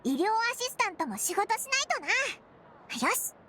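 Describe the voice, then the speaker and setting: A woman's voice: very high voice, sing-song, fairly quick, very noisy. A woman voicing a small fairy companion in an anime game, childlike and boyish, with blunt, casual, eager speech. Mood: eager, friendly. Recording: loud game music underneath.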